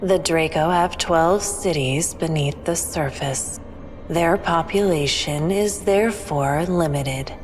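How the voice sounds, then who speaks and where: A woman playing a calm, all-knowing alien who speaks in a measured, formal, cryptic way in a sci-fi audio drama. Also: low voice. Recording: long reverb, music underneath.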